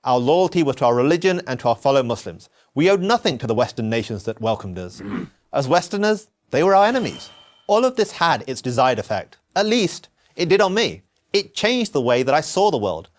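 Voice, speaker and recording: A man from England, giving an earnest, measured scripted narration of his own story. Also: medium pitch. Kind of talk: scripted first-person narration.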